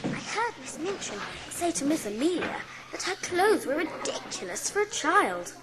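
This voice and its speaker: Catty young schoolgirl, gossipy, envious, high-pitched, animated, audiobook drama. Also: moderate pace, crowd noise. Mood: catty, disdainful.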